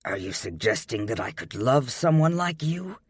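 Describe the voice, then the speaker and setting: A man's voice: mid-range voice, very animated, normal speed, slightly rough, no echo. A man voicing a cold, furious husband who sneers with icy contempt. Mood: icy sneering.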